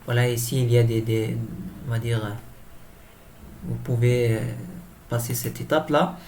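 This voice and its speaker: A man giving an improvised software tutorial, speaking hesitantly and often repeating himself as he explains each step. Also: medium pitch, flat delivery, moderate pace. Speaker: tutorial presenter. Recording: clear background noise.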